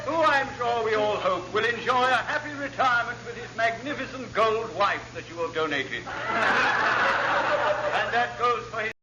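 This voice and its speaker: An actor playing a muddled, pompous elderly dignitary who rambles through oratory in classic radio comedy style. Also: male voice, extremely high pitch, lively intonation, normal speed. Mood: pompous, befuddled. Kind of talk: classic radio sitcom acting. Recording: muffled, very noisy old radio recording.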